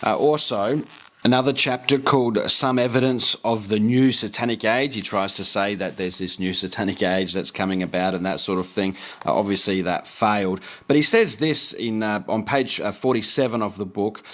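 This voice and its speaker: A man's voice: lowish voice, moderate pace, fairly bright tone. Earnest man preaching and reading aloud. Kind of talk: preaching commentary with read-aloud quotations.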